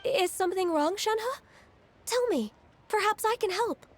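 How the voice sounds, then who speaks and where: A woman playing a gentle, helpful, refined young woman in a video game, speaking in a considerate, reassuring way. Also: very high voice, lively intonation, a little slow. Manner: considerate, reassuring, well-spoken.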